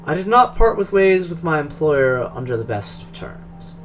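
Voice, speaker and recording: A man voicing a polished, guarded Russian drone engineer who talks in a formal, measured, precise way, with a Russian accent. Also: on the high side, sing-song, a bit bright, soft background music.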